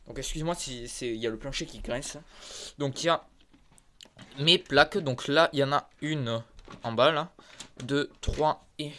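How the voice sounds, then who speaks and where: A man with a head cold giving a halting, filler-heavy show-and-tell of his toy collection, filmed on a phone in a converted attic. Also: mid-range voice, a bit bright, slight background noise. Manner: halting, filler-heavy, points things out one by one. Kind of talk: casual show-and-tell narration. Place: converted attic room with creaky floor. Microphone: phone camera held in hand.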